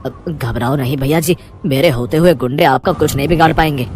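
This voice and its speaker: A man's voice: on the high side, a little fast, background noise, lightly acted. Man voicing a humble, kindly rickshaw-puller father. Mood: reassuring. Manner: polite, reassuring. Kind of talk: animated moral-story cartoon dialogue.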